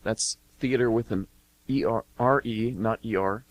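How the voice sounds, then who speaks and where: A man's voice: lowish voice, a little slow, low-quality recording. A man hosting an amateur radio theater show, talking informally to listeners.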